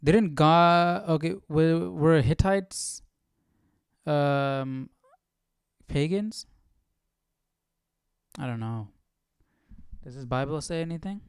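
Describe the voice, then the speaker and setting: Clear, dry-sounding man thinking aloud. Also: fairly high pitch, takes it slowly, long pauses, no echo. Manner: musing, questioning, thinking aloud. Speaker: host of Bible study stream. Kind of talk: casual chat, reading and commenting.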